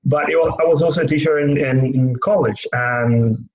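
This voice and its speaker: A man's voice: medium pitch, moderate pace, muffled recording. Thoughtful young man with a Cuban accent. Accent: Cuban Spanish. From Cuba. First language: Spanish. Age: young adult. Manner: thoughtful, searching for words. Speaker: lay pastoral care worker. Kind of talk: reflective personal interview monologue.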